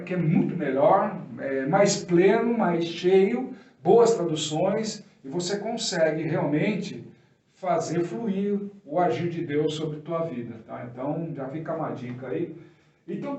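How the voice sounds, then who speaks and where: A man's voice: fairly high pitch, darkish tone. A man with a Brazilian accent giving an informal, off-the-cuff sermon in a didactic, colloquial way, posing questions and answering them. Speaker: preacher giving an opening teaching. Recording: roomy, echoey, distant microphone.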